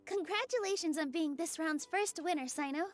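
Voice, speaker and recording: A woman's voice: extremely high pitch, moderate pace, music in the background. An actress playing a polite young female contest host in a video game, speaking like a presenter. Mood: polite, upbeat.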